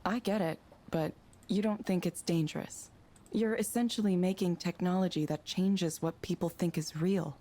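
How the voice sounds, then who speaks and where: An actress playing a concerned woman colleague in a visual novel, restrained, serious and direct as she quietly confronts someone. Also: fairly low pitch, steady tone, moderate pace, close to an everyday voice. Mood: concerned, probing.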